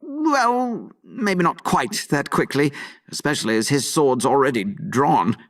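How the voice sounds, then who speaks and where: An actor playing a timid, stuttering but loyal fighter in a video game. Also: male voice, medium pitch, big pitch swings, unhurried, distant mic. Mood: meek. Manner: nervous stutter.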